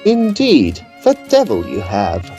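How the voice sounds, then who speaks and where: Guy playing a dry teasing edwardian gent, warm voice, swings up and down, formal, audio drama. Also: lowish voice, wildly swooping pitch, takes it slowly, soft background music, exaggerated voice. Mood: wry. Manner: wry, formal. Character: dry, shrewd, teasing Edwardian gentleman colleague.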